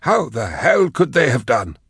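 Guy putting on a raspy high bitter old sorcerer, all over the place pitchwise. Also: lively intonation, measured pace, a bit bright, no echo, clean studio sound, heavily acted. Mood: alarmed. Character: bitter caged male sorcerer, weary and vengeful. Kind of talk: audiobook character voice.

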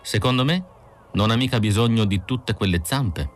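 A man's voice: lowish voice, normal speed, slight background noise, pitched above the performer's own voice, close to an everyday voice. A man voicing a cool, curious, casually cruel female android with an offhand, musing manner, in an audiobook. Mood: coolly curious.

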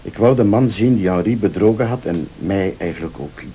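An actor playing a vengeful rival in a radio thriller, a calm, sardonic accuser who speaks Flemish with deliberate, probing politeness. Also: male voice, on the low side, slight background noise, lightly acted. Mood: wounded, bitter. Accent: Flemish Belgian. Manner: deliberate, insinuating, formally polite.

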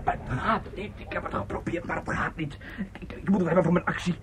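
An actor playing an anxious, fussy, temperamental male singer in a radio play, hoarse and halting, repeating himself in agitation. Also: high-pitched, fairly even intonation, noisy background, natural voice. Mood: despairing. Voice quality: hoarse, croaking.

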